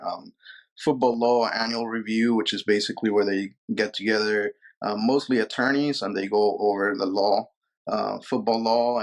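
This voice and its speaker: Brief: lawyer guest from Peru, low-pitched man, thoughtful and halting, lots of "uh" and restarts. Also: poor audio. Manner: thoughtful, many fillers and repeated words. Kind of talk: casual interview answers.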